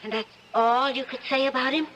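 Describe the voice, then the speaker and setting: An actress playing an anguished but determined wife in earnest, melodramatic old-time radio drama style. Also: female voice, sits high, steady tone, a little fast, fairly bright tone, a little hiss or hum, low-quality recording. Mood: guarded, shaken. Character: anguished, determined wife secretly seeking the truth.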